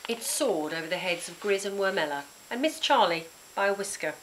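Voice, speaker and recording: A woman narrating a children's storybook in an expressive, dramatic and emphatic way. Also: mid-range voice, fairly quick, a little hiss or hum, rough recording. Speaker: author reading their own book.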